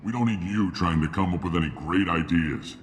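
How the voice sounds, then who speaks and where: An actor playing a gruff, cynical male mercenary sergeant and mechanic in a video game, with a curt, dismissive manner. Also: low-pitched, fairly quick, lightly acted. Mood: matter-of-fact. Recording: clear background noise.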